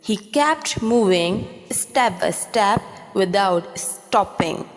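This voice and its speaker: A woman reading scripted stories for children like a teacher, pronouncing each word deliberately and clearly. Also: medium pitch, expressive. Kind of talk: scripted story narration for children. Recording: long reverb, music behind. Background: music.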